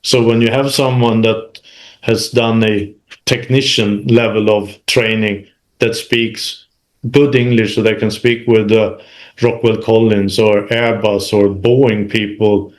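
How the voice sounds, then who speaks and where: A man's voice: lowish voice, little pitch movement, slow. Man recounting his career. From Sweden. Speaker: interview guest, manufacturing executive. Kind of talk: recounting career experience in conversation.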